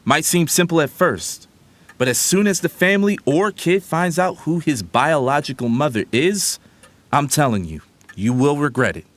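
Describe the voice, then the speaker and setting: An actor playing a loyal, protective family lawyer, earnest and emphatic, in an audio drama. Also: male voice, fairly high pitch, lively intonation, moderate pace, a bit bright. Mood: earnest, advising.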